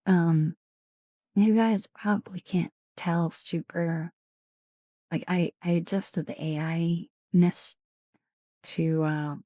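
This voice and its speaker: A woman with a raspy, somewhat low voice chats softly in an ASMR stream, often sinking into whispers. Her delivery is slow and unhurried, with a mellow, dark tone. The sound is dry and close. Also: steady tone, no room sound. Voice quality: raspy, deeper than usual. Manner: soft-spoken, often drops into whispers; chatty, greets and thanks viewers. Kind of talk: casual ASMR live-stream chat.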